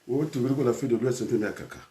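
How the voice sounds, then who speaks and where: A man's voice: medium pitch, very fast, warm tone, a little hiss or hum. An older man from Congo, a teacher and trainer, speaking in a rambling personal talk full of hesitations and switches into Lingala.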